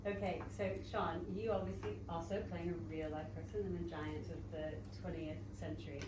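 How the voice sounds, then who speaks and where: A woman hosting a post-show talk, moderating questions in a brisk, upbeat way. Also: on the low side, fairly even intonation. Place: small pub theatre, indoors. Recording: echoey room, distant mic, background noise.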